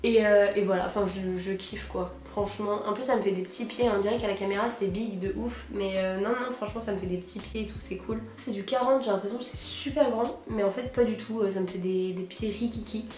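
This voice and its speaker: Young female vlogger. Medium pitch, husky from a cold, slangy enthusiastic chat, echoey room, faint music. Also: a little fast, hardly any pauses, a little mellow. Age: teens to young adult, high school student. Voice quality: hoarse, husky. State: hoarse with a cold. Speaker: sneaker vlogger presenting purchases. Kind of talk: casual chat to camera, slangy. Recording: roomy echo, music behind.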